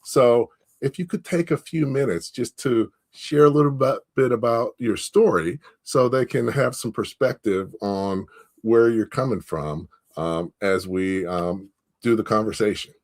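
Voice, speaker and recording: A man's voice: medium pitch, a little slow. A man hosting a business interview over a video call, encouraging and loose, with many fillers. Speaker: interview host. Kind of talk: casual interview.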